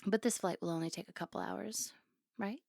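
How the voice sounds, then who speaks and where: Female performer as playful, affectionate barista love interest for ASMR roleplay; mid-pitched, intimate, teasing, dry studio-like sound. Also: fairly quick, gravelly, darkish tone, no room sound. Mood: teasing, amused.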